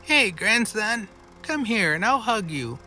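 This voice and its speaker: A man voicing an elderly grandmother in a scary story, slow, sweet, cooing and eagerly affectionate, over a noisy track. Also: high-pitched, big pitch swings, bright, forceful tone, soft background music, pitched above the performer's own voice, full character voice.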